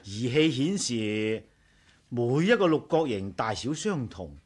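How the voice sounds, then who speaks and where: A man's voice: medium pitch, big pitch swings, takes it slowly, husky, exaggerated voice. An actor playing a confident, curious male scientist in a Cantonese radio drama, explaining things reassuringly and methodically. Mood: explanatory, absorbed.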